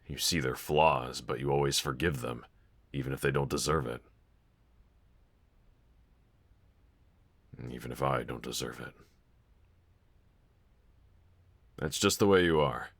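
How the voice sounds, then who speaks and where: A man's voice: very deep voice, a little fast, fairly bright tone, heavily acted. A man playing a brooding young villain roommate in an intimate audio roleplay, confiding hesitantly and wryly to one listener. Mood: tender, sincere. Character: brooding young male villain roommate, self-loathing but softly grateful.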